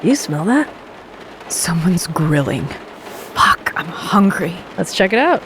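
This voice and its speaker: An actress playing a casual traveler in a naturalistic audio drama. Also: female voice, fairly low pitch, big pitch swings, slow, heavily acted. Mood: casual, eager. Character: hungry, casual traveler arriving by van. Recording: rainy, noisy outdoor drama soundscape. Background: rain and vehicle sounds.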